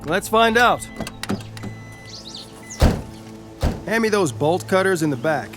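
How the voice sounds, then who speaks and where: An actor playing a breezy, curious commanding officer with a casual, jokey manner in an audio drama. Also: male voice, sits high, expressive, moderate pace, long reverb tail, music in the background.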